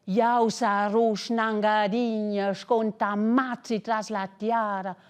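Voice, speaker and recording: A woman's voice: a little high, a little mellow. A woman voicing an indignant, complaining male worm who longs to fly, in a children's storybook. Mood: bitter. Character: furious, grumbling male worm longing to fly.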